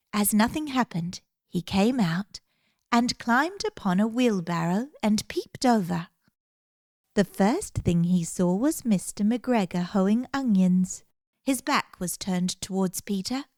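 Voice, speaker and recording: Slow-paced woman reading a children's storybook. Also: medium pitch, dry recording, clean studio sound. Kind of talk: children's storybook read-aloud.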